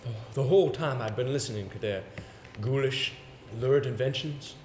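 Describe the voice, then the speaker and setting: An echoey stage recording with some background noise carries a man acting a haunted, earnest gentleman. He tells his ghostly tale in deliberate, theatrical phrases that halt and repeat. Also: medium pitch, natural voice. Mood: agitated, haunted. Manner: deliberate, dramatic phrasing with halting repetitions. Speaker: stage actor. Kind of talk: theatrical stage monologue and dialogue.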